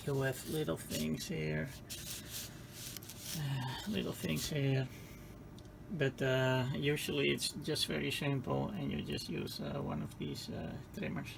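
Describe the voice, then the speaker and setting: A man giving an informal hands-on technical tutorial, speaking haltingly as he searches for words. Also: medium pitch, gravelly, background noise. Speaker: presenter of a radio repair tutorial.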